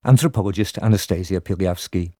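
A male reporter narrating a radio documentary and putting questions to interviewees in a measured way. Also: deep voice, mellow, no echo, clean studio sound.

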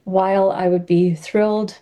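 A woman translator speaking as a guest in a recorded interview, soft and even. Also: medium pitch, unhurried, lo-fi sound.

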